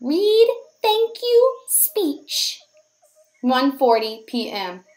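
A woman reading a children's picture book aloud as a teacher, in an expressive, deliberate storytelling manner for young children. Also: high-pitched.

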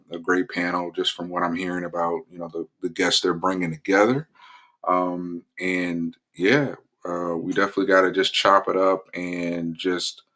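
A man hosting his own political commentary channel, talking loosely in a casual vlog promo with lots of fillers and restarts. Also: low voice. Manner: relaxed, rambling with many fillers.

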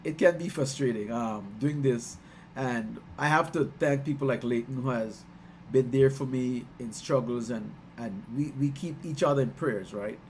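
A middle-aged man from Guyana, a musician talking casually on a video call, warm and chatty, laughing often and getting excited as he tells stories. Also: medium pitch, normal speed, slight background noise, rough recording. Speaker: musician hosting his album release stream. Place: small room at home. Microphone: headset on a video call.

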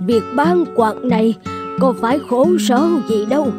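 An actress playing a gentle, plaintive old fan seller, polite and humble, in an animated folk tale. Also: female voice, a little high, measured pace, close to an everyday voice. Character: humble, kindly old village woman selling fans. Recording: plucked-string music behind studio voice.

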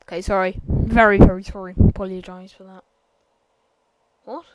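A woman giving a casual, rambling game tour voiceover, hesitant and full of ums and apologies, sometimes bursting out loud. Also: medium pitch, little pitch movement, normal speed, noisy background. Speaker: gameplay commentator.

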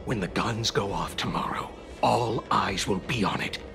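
An actor playing a menacing armored male conspirator who scolds in low, hushed tones in a game cutscene. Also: normal speed, creaky, over music. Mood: hushed scolding.

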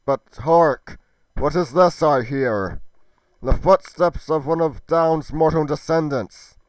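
Villain for game roleplay: man playing boastful demigod of fire, grandiose and wrathful, slow, raised voice, clean and resonant. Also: on the high side, no room sound, rough recording. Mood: grandiose menace. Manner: pompous, declamatory, archaic speech. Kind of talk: theatrical villain monologue in amateur game roleplay.

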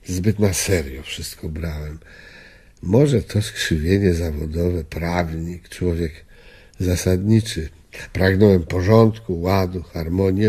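An actor playing an old, principled lawyer in a radio drama, solemn and disillusioned, speaking gravely. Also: male voice, sits low, mellow, exaggerated voice. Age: older. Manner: grave, measured. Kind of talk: radio drama acting, reflective.

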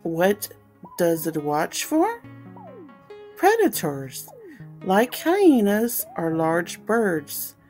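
A woman reading a children's picture book aloud as a storytime host, explaining things simply and deliberately for young listeners. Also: lowish voice, big pitch swings, a little mellow, soft background music.

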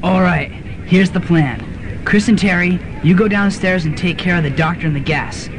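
A man playing a bossy young student rebel leader in a student radio drama, brisk and commanding. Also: sits high, lightly acted. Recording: noisy student radio recording with ambience.

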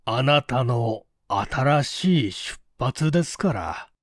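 A woman voicing a composed, courteous male detective chief in an audiobook, explaining in a deliberate, emphatic and formal way. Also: rumbling low, lively intonation, dry recording, clean studio sound, pitched below the performer's own voice, exaggerated voice. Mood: calm, firm. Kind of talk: storybook dialogue in audiobook reading.

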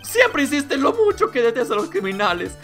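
A man's voice: extremely high pitch, huge pitch swings, brisk, fairly bright tone, faint music behind, pitched above the performer's own voice, full character voice. A man voicing a timid, despondent young husband in a game, hesitant and stammering. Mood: despairing.

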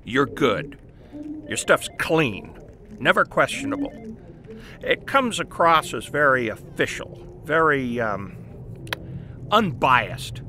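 An actor playing a demanding, self-important newspaper editor with a curt, commanding manner in an audio drama. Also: male voice, medium pitch, big pitch swings, slow, pauses often, bright, forceful tone, heavily acted. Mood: smooth, persuasive. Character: brusque, demanding male newspaper editor boss.

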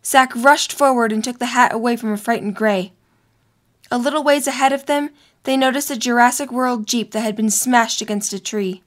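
A woman's voice: fairly high pitch, moderate pace. A young woman reading scripted story narration for an audio drama.

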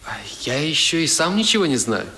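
An actor playing a polite, candid young English gentleman in a radio drama, answering with courteous hesitation. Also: fairly high pitch, very animated, fairly quick. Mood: polite, puzzled. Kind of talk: Soviet 1970s radio drama acting.

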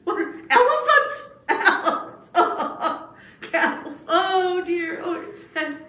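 Woman playing a high-pitched, flustered old man. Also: very animated, slow pace, bright, forceful tone, exaggerated voice. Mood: frantic, pleading. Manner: stammering, exclaiming, fretful. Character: flustered, pleading, humble old man puppet. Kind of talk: puppet show acting. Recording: roomy, distant mic with background noise.

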